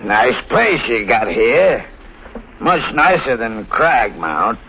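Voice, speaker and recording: Sly blackmailer, slangy, very swooping delivery, old radio thriller, dull recording. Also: male voice, mid-range voice, sing-song, slow pace, slight background noise. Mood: sly, menacing. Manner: casual, slangy, needling. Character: sly, insinuating stranger, a blackmailing former asylum worker. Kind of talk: 1950s radio thriller acting.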